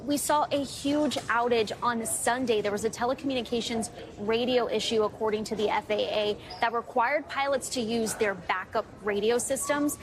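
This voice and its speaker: Higher-pitched American woman reporting live. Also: slight background noise. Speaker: news reporter. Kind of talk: live on-location news report. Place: on location at an airport.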